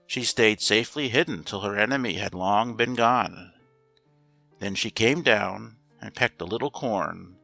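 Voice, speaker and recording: A man narrating a scripted fairy tale as a storyteller, reading aloud in a measured, expressive way. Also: lowish voice, creaky. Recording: roomy echo, faint music behind.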